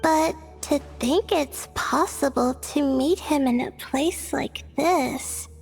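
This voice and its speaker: A woman's voice: high voice, slow pace, vocal fry, exaggerated voice. A woman playing a young female scholar in a video game, polite and thoughtful, explaining things in an earnest, hesitant manner. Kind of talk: English video game voice acting. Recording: faint music behind the voice.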